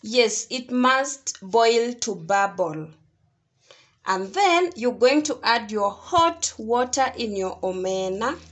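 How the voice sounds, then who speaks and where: A woman's voice: on the high side, very animated, takes it slowly. A Kenyan woman giving step-by-step cooking instructions in an explanatory tutorial style. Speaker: home cook, video host.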